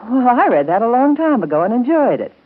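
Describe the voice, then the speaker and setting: An actress in a 1930s radio soap opera playing a patient, motherly guardian, gentle, earnest and coaxing. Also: a little high, very animated, quick pace, narrow, tinny audio. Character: warm, patient motherly guardian woman of twins. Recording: band-limited scratchy 1930s radio broadcast.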